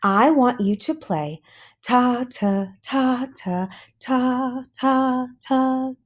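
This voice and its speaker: A woman reading a children's storybook, voicing an encouraging, exacting woman orchestra teacher who gives brisk, bright instructions. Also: high voice, animated, pitched above the performer's own voice, full character voice. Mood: bright, instructive. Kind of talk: children's storybook read-aloud.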